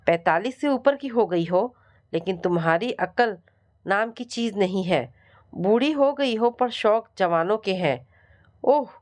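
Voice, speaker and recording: A woman's voice: medium pitch, expressive, unhurried, darkish tone, lightly acted. A woman storyteller voicing an arrogant, suspicious husband who speaks with accusing contempt. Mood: scornful.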